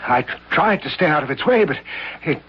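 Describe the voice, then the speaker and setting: An actor playing a shaken, haunted college professor in an old radio drama, halting and tremulous with a raspy voice. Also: male voice, fairly high pitch, animated. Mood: horrified. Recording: dull old radio recording.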